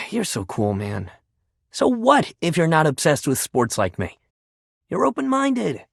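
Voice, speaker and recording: An actor playing a sports-obsessed, warm-hearted young jock pal in a video game, with exuberant, slangy bro talk. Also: male voice, on the high side, huge pitch swings, unhurried, gravelly, slightly rough, dry recording, clean studio sound, full character voice. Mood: tender, admiring. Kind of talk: video game character acting.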